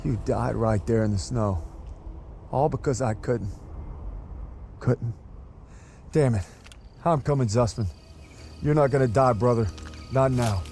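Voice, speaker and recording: An actor playing a war-weary young American soldier, speaking quietly and gravely. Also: male voice, mid-range voice, a little slow, long pauses, slight background noise, lightly acted. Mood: reflective. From Texas. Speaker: soldier, game protagonist. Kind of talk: game cinematic voice acting. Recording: voice under loud score. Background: music.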